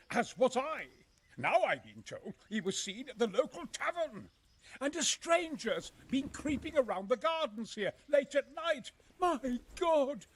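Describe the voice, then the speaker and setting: An actor playing a nervous, middle-aged military engineer and former crusader in a video game, speaking earnestly in formal, old-fashioned phrasing. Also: male voice, very high voice, slightly rough, no room sound, exaggerated voice. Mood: frightened. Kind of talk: dramatic video game acting.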